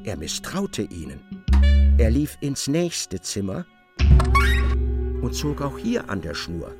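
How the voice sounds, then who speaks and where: A man's voice: medium pitch, huge pitch swings, takes it slowly, loud music behind the voice. A man narrating a literary radio drama in a scripted, storytelling style. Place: dry studio.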